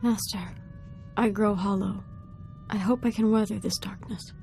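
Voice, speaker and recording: A young female Sith apprentice from a video game, played by an actress, with a dark, mellow voice at an average pitch. She sounds earnest and troubled, choosing formal, grave words. Quiet music plays underneath. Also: steady tone, normal speed, vocal fry, close to an everyday voice. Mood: troubled, resolved. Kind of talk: dramatic video game voice acting.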